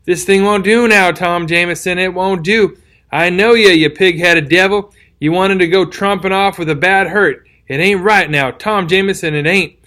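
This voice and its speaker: Guy putting on a hurt old-timey country soldier, drawl, folksy and slurring, higher than his own voice. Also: moderate pace, a bit bright, lightly acted. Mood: pleading. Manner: folksy, rambling, garrulous. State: weak from a wound, slurring. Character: wounded, rambling, kindly rural soldier man. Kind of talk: audiobook character reading.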